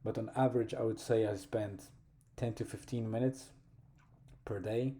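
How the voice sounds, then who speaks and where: A man with a Polish accent, a native Polish speaker, talking reflectively in a personal vlog, slow and thoughtful, pausing to find words. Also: on the low side, steady tone, dark tone. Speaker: vlogger.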